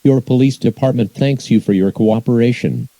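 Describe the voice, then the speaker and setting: Man, emergency alert announcer, very dark mellow tone, dry sound, scripted, formal, impersonal. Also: mid-range voice, moderate pace, no room sound.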